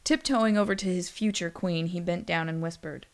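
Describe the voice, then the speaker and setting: A woman's voice: lowish voice, moderate pace. A woman narrating a scripted story in a wry, dramatic way.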